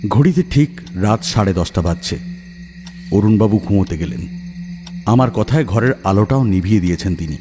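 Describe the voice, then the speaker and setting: Animated male horror-story narrator over music. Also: lowish voice, normal speed. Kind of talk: dramatic first-person horror story narration. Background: background music.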